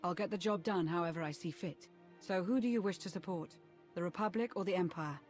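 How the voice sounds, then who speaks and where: An actress playing a steady, loyal female military adviser in a video game, speaking in a measured, formal way. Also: fairly low pitch, soft background music, close to an everyday voice. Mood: grave, resolute. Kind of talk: video game cutscene acting.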